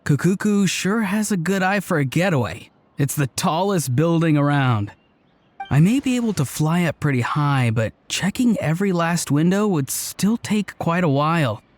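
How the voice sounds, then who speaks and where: A man's voice: fairly high pitch, animated, moderate pace, natural voice. An actor playing an upbeat, loyal, playful young man with a breezy, earnest manner in a video game. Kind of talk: video game cutscene voice acting.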